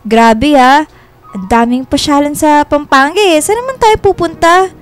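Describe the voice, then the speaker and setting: A woman's voice: sits high, unhurried. An actress with a Filipino accent playing a playful, teasing woman in her twenties in a radio romance drama. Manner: giggly, teasing, expressive. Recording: music behind the voice. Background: background music.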